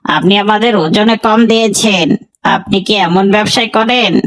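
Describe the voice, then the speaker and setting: A woman's voice: mid-range voice, unhurried, natural voice. A woman voicing a sly, cheeky young male troublemaker for hire in a cartoon. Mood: accusing. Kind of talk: cartoon voice acting.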